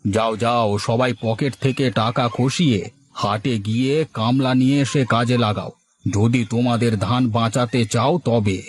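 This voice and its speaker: A man's voice: mid-range voice, fairly even intonation, moderate pace, natural voice. A man voicing a concerned, neighbourly village farmer in a cartoon moral story, talking in a folksy, exclamatory way. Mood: urgent advice.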